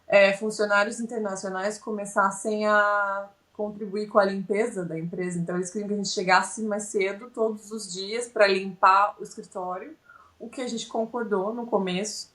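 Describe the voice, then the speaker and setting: Brazilian woman on a podcast, talks things through in detail, normal mid pitch. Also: steady tone, moderate pace, a bit bright. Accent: Brazilian Portuguese. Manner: detailed, explanatory recounting. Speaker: podcast guest. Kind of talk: casual interview storytelling.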